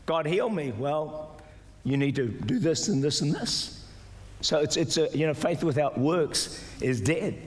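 An older man preaching a sermon as pastor, exhorting the congregation, sometimes shouting and mimicking doubters in a higher voice. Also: animated, a little slow. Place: large church auditorium with stage. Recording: live church hall reverb with music. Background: soft music and congregation responses.

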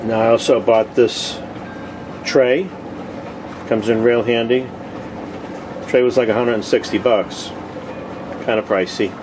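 A man's voice: mid-range voice, steady tone, unhurried, pauses often. Plainspoken man over noisy outdoor background. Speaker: host of a cooking vlog. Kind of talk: casual video tour narration. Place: open deck of a boat on the water. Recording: outdoor ambient noise.

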